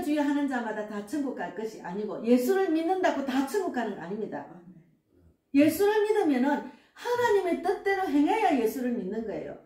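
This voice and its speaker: A woman's voice: high-pitched, mellow. A woman in her sixties, a pastor, preaching a sermon earnestly and emphatically, with frequent affirming asides. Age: 60s. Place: church sanctuary. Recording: echoey church room, distant mic, faint music.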